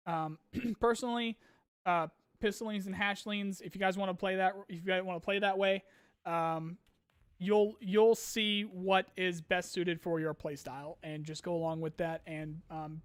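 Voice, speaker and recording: Hoarse high-voiced man streaming casually. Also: fairly even intonation, moderate pace, dry recording, clean studio sound. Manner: rambling, many ums and restarts, apologetic. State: losing his voice, clearing throat. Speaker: streamer host answering chat questions.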